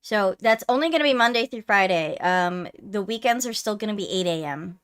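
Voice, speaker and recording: A woman's voice: medium pitch, animated, brisk, clear, resonant tone. A woman chatting casually as she hosts a livestream. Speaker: streamer hosting a live game readthrough.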